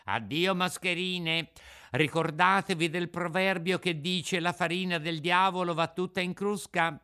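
A man's voice: high voice, steady tone, unhurried, pushed and bright, clean tone, pitched above the performer's own voice. A man voicing a spirited, cocky wooden puppet boy in an emphatic, declamatory storybook manner. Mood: scornful, taunting.

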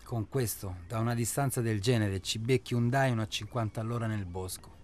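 An actor playing an impatient, rough guide of a sinister safari in a radio drama, speaking in a curt, pressing, colloquial way. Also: male voice, lowish voice, fairly quick, a little mellow, a little hiss or hum, close to an everyday voice. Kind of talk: naturalistic Italian radio drama acting.

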